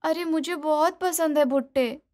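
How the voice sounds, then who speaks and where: A woman acts out a young bride in a cartoon family story. Her voice is high, eager and expressive, made for coaxing her in-laws and sulking a little when hurt. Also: moderate pace, lightly acted. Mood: pleading, hurt. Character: eager young daughter-in-law, cheerful, pleading, easily hurt. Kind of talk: animated moral story dialogue.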